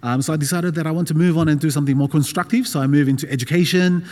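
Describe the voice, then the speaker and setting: A man's voice: a little high, racing, darkish tone. A middle-aged man from Taiwan who moved to Australia as a child, a non-native English speaker, preaching informally and hesitantly as a guest. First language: not English. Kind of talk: informal personal sermon. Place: large reverberant room with a gathering. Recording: reverberant hall.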